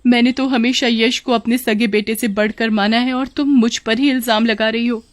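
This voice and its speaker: A woman's voice: on the high side, steady tone, moderate pace, no room sound, clean studio sound, voice pushed up, lightly acted. A woman voicing a scheming stepmother who puts on doting, coaxing sweetness in a cartoon story. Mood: wounded, reproachful. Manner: coaxing, sweet-talking, falsely wounded. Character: scheming stepmother putting on sugary, doting affection.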